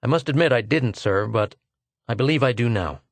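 A man's voice: medium pitch, lively intonation, a little fast, dry recording. A man voicing a frank male archaeologist who speaks bluntly and gets exasperated easily. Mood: curious.

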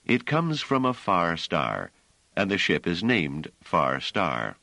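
A man's voice: deep voice, wildly swooping pitch, takes it slowly, gravelly, pushed and bright, dry recording, exaggerated voice. A man voicing a wary, wry male space traveler who leads his small group.

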